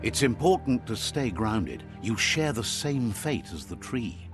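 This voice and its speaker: A low male voice with lively, swooping intonation narrates a video game fable. He sounds like a wry old-style storyteller, measured and philosophical, with music playing underneath. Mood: reflective, sage.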